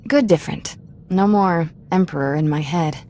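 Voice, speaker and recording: A woman's voice: lowish voice, normal speed, darkish tone, lightly acted. A woman playing a spirited, irreverent young Jedi apprentice in a video game, talking in a wry, informal way. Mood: reflective.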